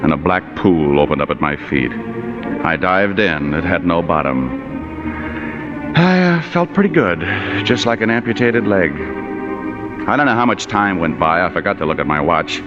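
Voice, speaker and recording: A wisecracking private eye speaks very low, with dry, sardonic hard-boiled phrasing. It has the style of 1940s radio noir, on a dull vintage broadcast recording with faint music. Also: male voice, expressive, a little slow. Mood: brooding, wry.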